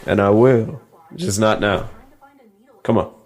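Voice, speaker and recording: A man's voice: fairly low pitch, slow, a little mellow. A man playing a laid-back, cocky younger lover in an audio drama, talking in short, casual teasing lines. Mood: smooth, teasing.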